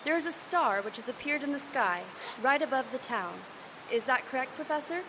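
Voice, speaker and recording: A persistent female field reporter who narrates the scene in a brisk, broadcast-style delivery. Her voice sits a little high, and the live stage recording carries steady background noise.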